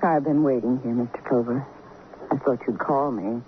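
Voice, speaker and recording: A man's voice: medium pitch, normal speed, phone-quality sound, natural voice. A man acting a subdued, polite attendant at a sickbed in an old-time radio drama. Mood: subdued, sorrowful. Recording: noisy, thin vintage radio recording.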